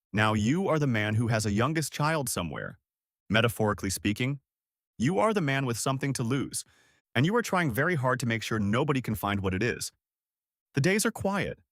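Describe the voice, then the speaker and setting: A man narrating a scripted second-person story in measured, clipped short sentences. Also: mid-range voice, fairly bright tone, no echo, clean studio sound.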